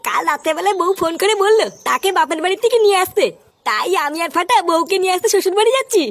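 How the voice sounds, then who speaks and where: A woman voicing cheeky village cartoon characters in a comic dialogue, with a high, squeaky voice. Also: lively intonation, rapid-fire, fairly bright tone, exaggerated voice. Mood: cheeky chatter.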